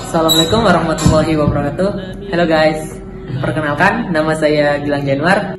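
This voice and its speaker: A man's voice: a little high, a little fast. A young man, a college student, giving a casual testimonial. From Indonesia. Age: young adult. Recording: echoey room, music over voice.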